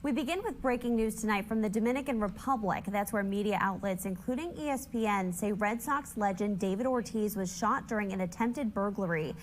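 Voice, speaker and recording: A female local TV anchor opens the newscast in a measured, formal delivery, with faint ambience behind her. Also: medium pitch, slight background noise. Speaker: news anchor. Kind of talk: broadcast news reading.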